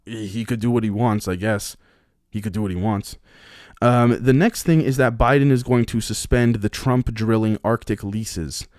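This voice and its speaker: A man's voice: on the low side, fairly even intonation, moderate pace, a little mellow, dry recording, studio quality. A man hosting a podcast, reading news headlines and giving blunt, profane opinions in a rambling way full of restarts. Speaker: podcast host. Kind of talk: casual news commentary.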